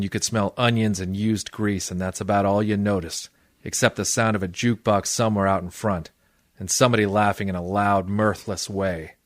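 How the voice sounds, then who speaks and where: A man acts out a hardboiled private eye from a radio detective play. His voice sits low, and he delivers wry, cynical lines deadpan, as if narrating 1940s noir. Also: natural voice. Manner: deadpan, wisecracking.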